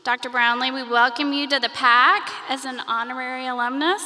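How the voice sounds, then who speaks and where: A woman's voice: high voice, fairly even intonation, brisk, bright, forceful tone, long reverb tail. A woman presenting an award at a dinner, upbeat and making light asides. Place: banquet hall.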